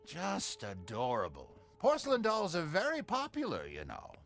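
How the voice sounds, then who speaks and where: An actor playing a genteel, jovial merchant in a video game, husky and unctuous, savouring his words like a salesman. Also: a little high, wildly swooping pitch, normal speed, a bit bright, full character voice. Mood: charmed, genteel. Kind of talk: video game character acting.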